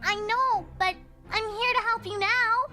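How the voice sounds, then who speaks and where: A tiny, extremely high cartoon pony voice. This young female pony is chirpy, sunny and eager, with music clearly playing behind. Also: steady tone, unhurried, pushed and bright, heavily acted.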